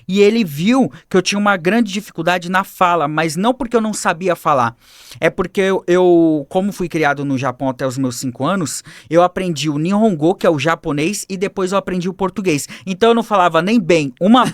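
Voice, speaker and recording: A man telling his life story as a podcast guest, animated and acting out the people he quotes, on a studio mic. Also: sits high, dry recording. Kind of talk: personal storytelling in a podcast interview.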